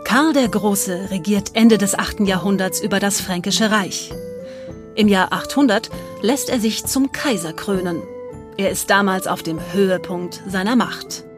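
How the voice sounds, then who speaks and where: A woman's voice: medium pitch, unhurried, music in the background. A woman reading scripted documentary narration.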